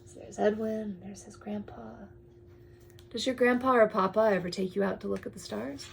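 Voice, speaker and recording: A woman reads a picture book aloud to a young child in drawn-out phrases, often dropping to a hushed tone and adding chatty asides. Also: mid-range voice, steady tone, vocal fry, slight background noise. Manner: addresses the child with questions and pointers. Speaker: storyteller reading to a young child.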